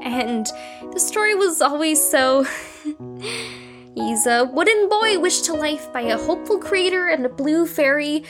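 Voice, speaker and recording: A young woman playing a troubled, wistful psychiatric patient in an audio drama, talking in an earnest, wry, confessional way. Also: sits high. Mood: wistful nostalgia. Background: music.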